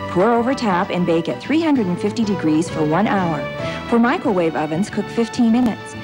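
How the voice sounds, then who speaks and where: A woman's voice: mid-range voice, expressive, moderate pace. A woman announcer reading a scripted retail commercial in an itemised, instructional style. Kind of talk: scripted grocery advertisement voice-over. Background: music.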